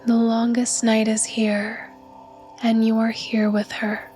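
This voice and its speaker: Female meditation guide: breathy and soothing, very flat monotone melody, unhurried slow pacing. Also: fairly high pitch, faint music behind. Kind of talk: guided yoga nidra meditation narration.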